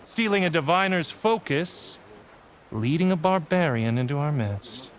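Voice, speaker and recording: An actor playing a stern, menacing compliance officer in a video game, pompous and commanding. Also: male voice, on the high side, expressive, unhurried. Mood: stern, contemptuous. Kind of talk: video game villain acting.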